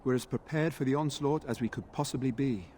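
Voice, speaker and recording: Actor as courteous male knight, mid-pitched, earnest formal speech, fantasy game dialogue, faint background ambience. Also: brisk, darkish tone, slight background noise, close to an everyday voice. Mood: earnest, resigned. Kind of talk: video game dialogue acting.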